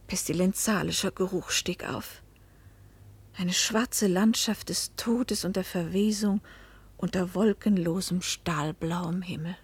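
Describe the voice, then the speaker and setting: A pensive middle-aged woman telling her own story in a German radio play. Her voice is husky and sits at a medium pitch. She speaks in a measured, literary way, at times sinking toward a whisper. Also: heavily acted. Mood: somber, descriptive. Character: pensive 41-year-old American wife and mother recounting a fateful journey.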